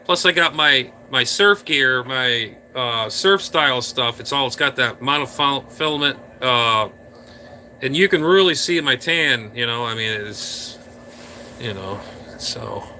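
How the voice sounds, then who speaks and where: A man rambles playfully to his live stream viewers, teasing them and reacting to the chat. His voice is very bright and cutting, sitting at a middling pitch. A faint hum of room noise sits behind him. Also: a little slow. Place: indoor room.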